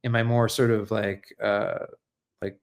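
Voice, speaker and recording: Halting, earnest American man thinking aloud. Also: on the low side, little pitch movement, gravelly. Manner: halting and searching, repeats words, tags phrases with "right?"; earnest, reflective. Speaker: livestream host and essayist. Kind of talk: unscripted livestream monologue on politics and philosophy.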